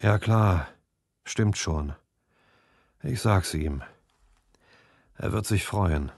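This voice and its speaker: A man's voice: low-pitched, extremely slow, gravelly, a little mellow, no echo, clean studio sound, exaggerated voice. Grumbling, easily cowed village master, read by a man. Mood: resigned. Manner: grudging, backpedalling, colloquial.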